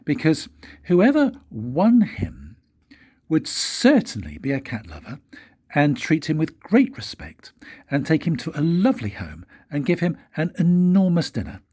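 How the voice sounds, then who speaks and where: An older man, a grandfather, reading a children's story aloud in a husky, breathy voice with expressive, dramatic pauses. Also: a little high. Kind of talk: storybook read-aloud narration.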